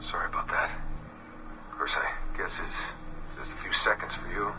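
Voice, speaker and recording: Low, distant, weary young man. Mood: apologetic, tired. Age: young adult. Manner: halting, subdued, reflective. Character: weary, haunted young man recording a confession. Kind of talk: recorded confessional audio diary, game voice acting. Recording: distant game audio with music behind. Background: music.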